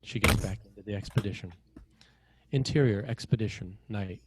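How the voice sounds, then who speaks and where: A man narrating screenplay action and scene headings in a measured, dramatic way, in short phrases. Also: on the low side, heavy background noise.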